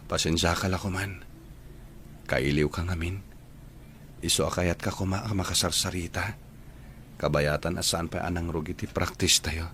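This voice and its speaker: Low-voiced, lovesick young Ilocano man. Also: fairly even intonation, moderate pace, pauses often, sharp tone, a little hiss or hum. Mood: apologetic, hopeful. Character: young man student, lovesick, pleading, rejected suitor. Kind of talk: radio drama acting.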